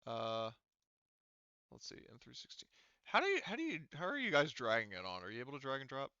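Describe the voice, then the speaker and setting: A man's voice: medium pitch, very animated, fairly quick, bright, forceful tone, clean tone, no echo, lo-fi sound. A man acting as game master in casual online play, explaining things hesitantly and thinking aloud as he goes.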